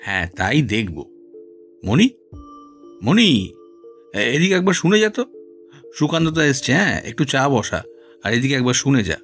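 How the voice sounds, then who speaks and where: A man acts out an anxious middle-aged householder for an audio drama. His pitch moves in lively swings as he repeats himself with urgency, with music underneath. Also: normal speed, pauses often. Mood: uneasy calling. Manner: agitated, repeats words urgently. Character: worried middle-aged householder, shocked and frantic about his servant. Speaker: actor in an audio story. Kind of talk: Bengali audio drama acting.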